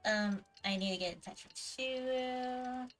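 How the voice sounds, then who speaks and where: A woman's voice: sits high, measured pace, a bit bright, faint music behind. A woman livestreaming a game, chatting casually and thinking aloud in a hesitant murmur. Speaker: game streamer. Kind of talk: casual livestream chat while gaming.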